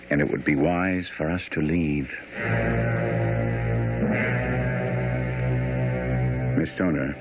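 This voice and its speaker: A man's voice: rumbling low, exaggerated voice. A man acting a shrewd, commanding detective in a radio mystery, with precise, authoritative, theatrical delivery. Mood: musing, grave. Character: shrewd, commanding English detective, deliberate and incisive. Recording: muffled radio broadcast, faint noise.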